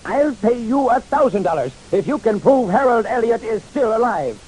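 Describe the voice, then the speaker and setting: An actor playing a sceptical, insistent estate lawyer with formal, emphatic delivery in an old-time radio drama. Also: male voice, very high-pitched, sing-song, moderate pace, a little mellow, breathy edge, full character voice, rough recording.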